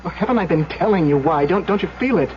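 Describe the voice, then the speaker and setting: A man playing an anguished, practical engineer haunted by visions, halting and insistent, in old-time radio drama style. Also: high-pitched, expressive. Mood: uneasy, pleading. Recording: dull, noisy old radio recording.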